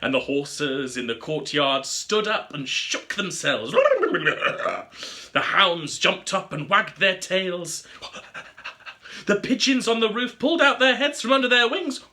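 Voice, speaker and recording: A man reading a scripted fairy tale aloud as a storybook narrator, with an expressive, theatrical delivery. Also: high voice, slow pace. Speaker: storybook reader.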